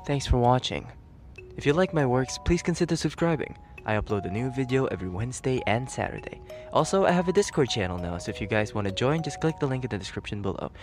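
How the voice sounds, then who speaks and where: A man's voice: medium pitch, animated, quick pace, faint music behind. A man who hosts a voice acting channel, speaking a casual outro to his viewers. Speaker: channel host, amateur voice actor.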